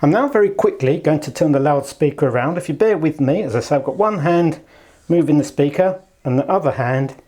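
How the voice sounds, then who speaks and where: A man with a mellow voice that is slightly husky presents a product in a casual, chatty style. His pitch moves around in a lively way at a middle height, and he stays polite throughout, speaking to a handheld camera. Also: a little fast. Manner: polite, chatty, fond of understated superlatives. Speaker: product presenter for an audio shop. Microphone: handheld camera held in one hand.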